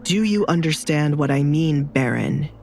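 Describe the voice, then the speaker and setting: A woman playing a cold, commanding duke in an audio drama, speaking slowly and deliberately with calm menace. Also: sits low, vocal fry, slight background noise, voice pushed down, full character voice. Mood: cold threat. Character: cold, menacing young duke, calmly threatening man.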